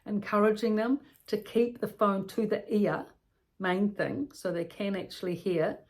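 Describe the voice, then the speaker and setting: A woman's voice: mid-range voice, steady tone, measured pace. A woman giving an instructional talk as a care educator, explaining step by step with practical examples and repeated points.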